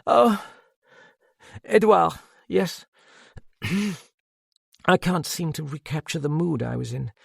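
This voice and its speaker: A woman's voice: low-pitched, animated, slow pace, gravelly, long pauses, slightly rough, no echo, clean studio sound, pitched above the performer's own voice, heavily acted. A man voicing a proud, desperate woman who cries out with passion, bitter and near tears, in a read-aloud story.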